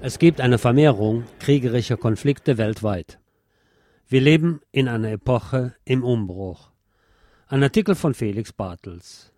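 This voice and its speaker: Male narrator for a formal essay, mellow tone, measured emphasis, dry close sound. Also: medium pitch, animated, no echo. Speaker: reader of an article. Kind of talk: read-aloud political essay, scripted narration.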